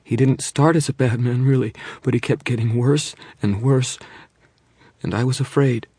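A man voicing a frightened young mother who pleads and confesses in a rush, then drops to a hush. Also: fairly low pitch, breathy edge, no room sound, studio quality, voice pushed up. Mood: fearful confession. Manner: breathless pleading, rushing then hushed.

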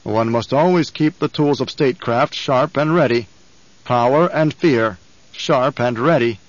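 A man voicing a menacing, scheming older male baron who talks in slow, rumbling commands. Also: no room sound, close to an everyday voice. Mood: scornful. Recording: dull, dry studio recording.

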